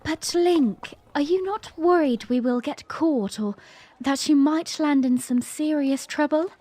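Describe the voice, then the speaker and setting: A woman's voice: high voice, unhurried, mellow. A woman playing a young, playful, romantic princess who tells her story in a genteel, tender way in a fan audio drama. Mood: playful, warm.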